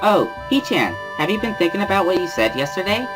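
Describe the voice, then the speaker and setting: Man putting on a high, lilting bubbly schoolgirl. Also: brisk, music in the background, low-quality recording. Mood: playful. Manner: excitable, playful. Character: bubbly teenage schoolgirl, giggly and sing-song. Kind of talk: dramatic reading of a visual novel.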